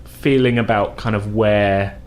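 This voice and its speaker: A man's voice: fairly low pitch, fairly even intonation, measured pace. A man playing a tabletop role-playing game, telling his character's story casually in a hesitant, rambling way.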